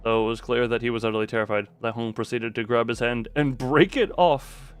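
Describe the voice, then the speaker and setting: A man doing livestream commentary over a story game, reading it aloud dramatically with animated, incredulous asides and some swearing. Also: medium pitch, brisk, clean tone, soft background music.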